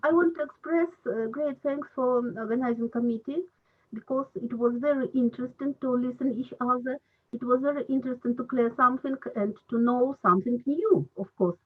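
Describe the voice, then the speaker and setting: Woman hosting an online panel, hesitant English, husky voice on a phone-like line. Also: sits high. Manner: halting non-native English, many fillers. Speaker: round table moderator. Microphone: video call. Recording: husky voice over a tinny call line.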